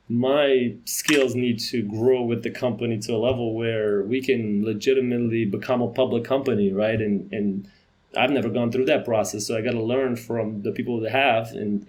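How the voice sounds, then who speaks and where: Quick-talking man from Romania. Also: mid-range voice. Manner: quick, with restarts and laughs. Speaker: podcast guest, company CEO. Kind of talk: casual interview chat.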